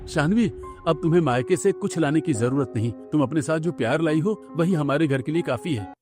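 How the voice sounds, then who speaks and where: An actor playing a remorseful young husband in a cartoon, speaking in an earnest, pleading way. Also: male voice, fairly high pitch, fairly quick, close to an everyday voice. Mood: tender. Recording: music clearly behind the voice.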